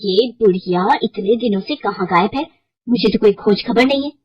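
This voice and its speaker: A woman voicing a scheming, bullying young village daughter-in-law for a cartoon story, with a sharp manner. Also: mid-range voice, moderate pace, slightly rough, highs cut off, lightly acted. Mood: menacing, scornful.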